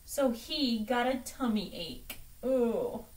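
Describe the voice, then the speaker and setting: A young woman reading a storybook aloud to children in an expressive, deliberate way. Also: on the high side. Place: small room at home, indoors. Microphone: camera microphone from across the room. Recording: distant microphone in a room.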